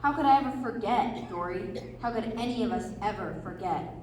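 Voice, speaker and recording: A woman's voice: medium pitch, moderate pace, noisy background, natural voice. Woman as a nostalgic dwarf, very echoey. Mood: sorrowful. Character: nostalgic, sorrowful dwarf miner. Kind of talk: readers theatre stage performance. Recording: very echoey room from far off.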